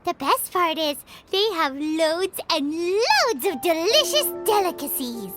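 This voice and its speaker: A woman's voice: very high-pitched, sing-song, slow pace, exaggerated voice. A woman voicing a tiny, chirpy female companion creature in a game, who calls herself by her own name. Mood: excited. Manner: talks about herself in third person, bubbly. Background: music.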